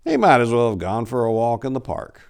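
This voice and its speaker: A man in his forties giving a theatrical, expressive dramatic reading of a scary short story. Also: mid-range voice, fairly quick, vocal fry, clear, resonant tone. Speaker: story reader. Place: indoors, book-lined room. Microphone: lapel.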